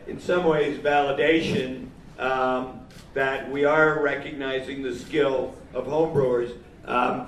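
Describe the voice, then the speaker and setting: A man from New England hosting a homebrew competition, announcing the winners in a halting, informal speech. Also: mid-range voice. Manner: halting, with frequent pauses. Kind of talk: informal speech at a live event. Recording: echoey room, mic far off, faint noise.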